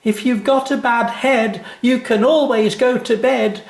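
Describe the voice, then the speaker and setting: A man voicing a bouncy, stubborn little girl in a children's story, chanting loudly in sing-song. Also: extremely high pitch, steady tone, a little slow, creaky, reverberant, voice pushed up, full character voice. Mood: loud, cheeky chanting.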